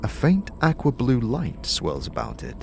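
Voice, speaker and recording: A man's voice: mid-range voice, wildly swooping pitch, measured pace, creaky. A man narrating a fantasy audio drama in a vivid, suspenseful, scripted storytelling style. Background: music.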